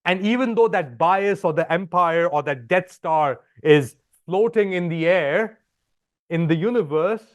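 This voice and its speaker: High-voiced man arguing emphatically. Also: lively intonation, unhurried, lo-fi sound. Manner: emphatic, rhetorical, acts out quoted voices. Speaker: guest commentator. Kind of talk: animated political commentary.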